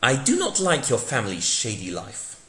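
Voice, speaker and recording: A man's voice: medium pitch, huge pitch swings, moderate pace, a bit bright. A man voicing a solemn, pompous time-travelling hero in a dramatic reading, with stilted, declamatory delivery. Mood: earnest. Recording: echoey room.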